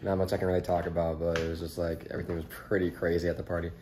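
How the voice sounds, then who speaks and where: A young man vlogging casually to a handheld camera, relaxed and laughing often, trailing off with fillers. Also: deep voice, very fast. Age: young adult. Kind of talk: casual vlog narration. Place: outdoors on an abandoned site and in a house. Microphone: handheld camera at arm's length. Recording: noisy handheld camera audio.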